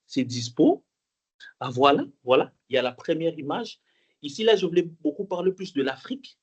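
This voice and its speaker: Young guy from congo giving an online conference talk, kinda high voice, lots of euh and restarts. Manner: hesitant, many fillers and restarts, emphatic repetition. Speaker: conference speaker. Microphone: online call mic.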